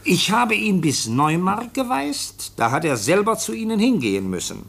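A man playing a police official in a radio play, matter-of-fact and explanatory, with a husky voice. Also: sits high, sing-song, fairly bright tone, full character voice. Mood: deliberate reading. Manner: matter-of-fact, explanatory, slow and deliberate when reading aloud. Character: brisk male police official reporting on a case.

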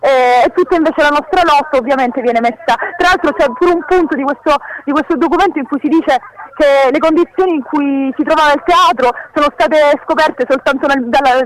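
A woman's voice: high voice, low-quality recording. A woman from Sicily, an activist giving an impassioned, insistent report to a radio show from the street. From Messina, Sicily, Italy. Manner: urgent, insistent, repeating phrases. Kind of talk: impassioned spontaneous testimony. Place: outdoors on a city street, calling in to a radio show.

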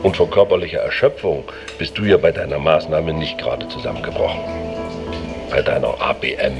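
An actor playing a stern middle-aged father who speaks in a formal, measured, condescending way in a radio drama. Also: extremely low pitch, lively intonation, creaky, music in the background, full character voice. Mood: disparaging. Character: stern, belittling father making a stiff confession.

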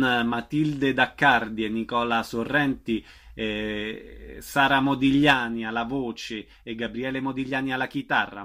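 Radio host guy, bright voice, rattles off names and dates. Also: mid-range voice. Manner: brisk announcing, listing names and dates. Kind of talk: radio interview.

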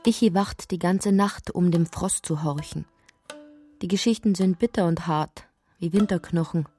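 A woman narrating a poetic, absurd literary radio drama in deliberate, measured phrases. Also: on the low side, warm tone, soft background music, natural voice. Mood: musing, wry.

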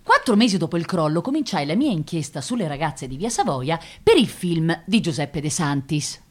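A woman's voice: deep voice, sing-song, normal speed, darkish tone. An actress playing a persistent male investigative journalist, telling of an inquiry in articulate, theatrical narration. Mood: measured, reflective. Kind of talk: theatrical narration of a written memoir.

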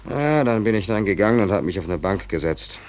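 A man's voice: on the low side, animated, fairly quick, darkish tone, close to an everyday voice. A man acting in a 1940s German radio play as a stranded young sailor, telling his misfortune in rambling, colloquial, wry phrases. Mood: wry, reflective. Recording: old 1940s radio recording.

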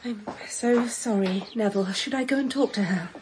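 A woman with a British accent playing a gentle, withdrawn ex-wife in a radio drama, soft-spoken and subdued. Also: mid-range voice, normal speed, noisy background, lightly acted.

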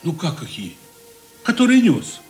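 A man's voice: on the high side, huge pitch swings, a little slow, faint music behind. An actor playing a curious, sympathetic, plain-spoken man in a Russian radio drama.

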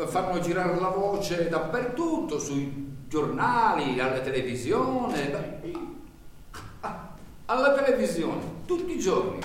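An actor playing a rambling, earnest working-class man in a stage monologue, stammering, repeating himself and searching for words. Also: sits high. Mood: indignant, worked up. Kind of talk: theatrical stage monologue in a radio broadcast. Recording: very echoey stage, distant mic, faint noise.

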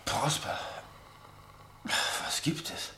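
A man's voice: extremely slow, bright, forceful tone. An actor in a German radio play playing a sleepy man woken in the night, mumbling in a half-whisper, recorded with a roomy echo. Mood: drowsy. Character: drowsy male companion woken in the night.

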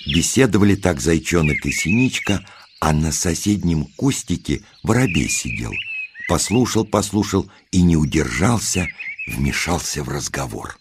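A man narrating a children's nature tale as a storyteller, in expressive, measured short phrases with pauses. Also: extremely low pitch, background noise. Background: music.

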